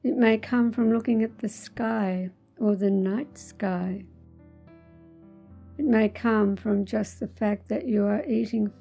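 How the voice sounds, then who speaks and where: Very slow, dark-voiced woman guiding meditation. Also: medium pitch, gravelly. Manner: measured, soothing, deliberate phrasing with pauses. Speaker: guided meditation host. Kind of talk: guided meditation, spiritual talk.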